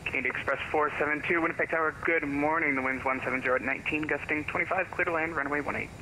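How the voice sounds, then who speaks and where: Brisk, bright-voiced male tower controller. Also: on the high side, steady tone, a little hiss or hum, rough recording. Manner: brisk, clipped instructions. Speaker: air traffic controller. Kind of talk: radio phraseology.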